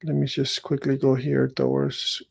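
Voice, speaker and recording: A man's voice: mid-range voice, monotone, a little slow, vocal fry, darkish tone, breathy edge, no echo. A middle-aged man from Chile, hosting a show, who reads an article aloud and then comments casually with many fillers and restarts. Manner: hesitant, with frequent fillers and restarts. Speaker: host.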